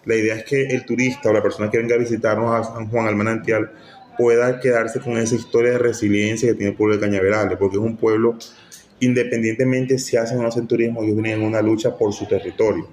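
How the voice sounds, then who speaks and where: Low, even man, echoey recording. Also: fast. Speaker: municipal tourism official interviewee. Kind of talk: spontaneous interview talk. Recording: echoey room with faint noise.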